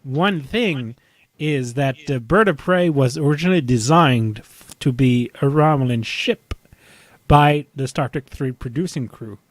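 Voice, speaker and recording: A man in his thirties hosting a casual fan discussion, talking in a halting, rambling way as he recaps. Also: mid-range voice, no room sound. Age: 30s. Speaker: podcast host.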